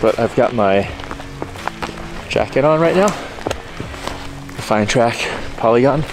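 A man records himself on a mountain trail, talking through the rain, the wind and his gear in a relaxed, matter-of-fact way. His voice sits at a middle pitch. Music plays clearly under his words. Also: slow. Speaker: thru-hiker. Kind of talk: hiking vlog narration. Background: background music.

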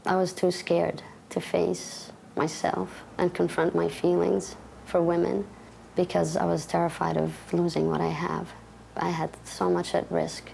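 A woman's voice: on the low side, level tone, measured pace, vocal fry, darkish tone, slight background noise, rough recording. A woman from Palestine speaking earnestly and personally in an interview.

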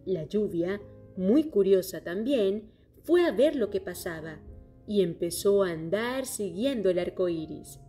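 A woman reading a children's story aloud in a clear, steady storytelling manner. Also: mid-range voice, unhurried. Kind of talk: scripted children's story narration. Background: soft music.

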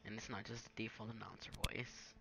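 A man's voice: on the low side, steady tone, brisk, gravelly, background noise. A young man giving a casual, improvised screen-recorded tutorial in an offhand way. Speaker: gaming tutorial maker. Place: indoors at a home computer.

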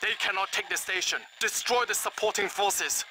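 Army commander, high-pitched, formal, solemn, patriotic, game briefing, noisy background. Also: male voice, normal speed, pushed and bright. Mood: commanding. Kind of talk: military briefing in a video game. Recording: clear background noise.

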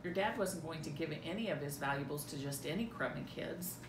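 A middle-aged woman doing a dramatic read-through of a script as a mother, recorded indoors at home. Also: a little fast. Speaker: amateur reader in a family script reading. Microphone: camera a few feet away. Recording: roomy echo, clear low rumbling noise.

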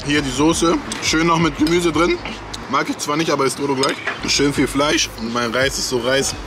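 A man's voice: on the high side, fairly even intonation, normal speed, noisy background. A young man chatting casually about food in short, everyday remarks. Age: young adult. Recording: music under the voice.

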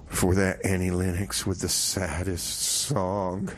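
A man's voice: low-pitched, little pitch movement, slow, slight background noise. A man hosting a community radio show in a casual, rambling way, with exasperated asides to listeners. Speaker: radio show host Rebar.

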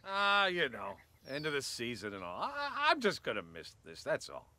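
Sheepish, bright-voiced big truck. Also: male voice, medium pitch, wildly swooping pitch, normal speed, no room sound, heavily acted. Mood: wistful. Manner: hesitant, sheepish. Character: big, soft-hearted, sentimental truck. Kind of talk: animated video game cutscene acting.

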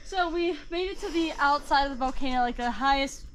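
A woman's voice: high-pitched, a little fast, slight background noise. A woman in her twenties chiming in briefly during a rainforest hike vlog. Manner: short upbeat chimes into the chat. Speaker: travel vlogger. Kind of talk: casual hiking vlog. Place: outdoors on a rainforest volcano trail.

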